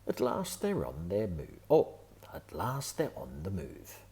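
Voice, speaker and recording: A man reading a children's picture book aloud, with gentle, often whispered asides. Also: deep voice, huge pitch swings, unhurried, a little hiss or hum.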